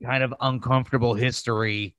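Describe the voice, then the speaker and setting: A man's voice: mid-range voice, steady tone, fairly quick, a bit bright, clean tone, dry recording, dull audio. A man co-hosts a casual podcast in a close, dry recording. He talks in loose, bantering chat and swears freely. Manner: profane, bantering. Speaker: podcast co-host.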